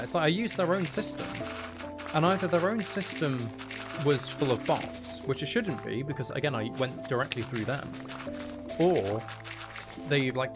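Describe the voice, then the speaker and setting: A soft-spoken man chatting casually on a livestream as an ASMR creator, explaining things in a candid, aggrieved way. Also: medium pitch. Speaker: ASMR content creator and streamer. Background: music in the background.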